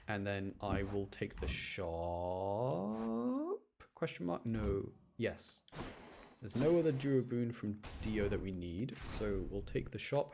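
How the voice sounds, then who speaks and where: A man's voice: lowish voice, background noise. A man giving casual, self-deprecating live commentary as he streams a video game. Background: loud game music.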